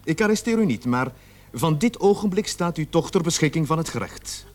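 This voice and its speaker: Man acting stern, animated police sergeant. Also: fairly high pitch, lightly acted. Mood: stern, measured. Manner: authoritative, measured, formal interrogator. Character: stern police sergeant leading a murder investigation. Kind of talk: radio play acting.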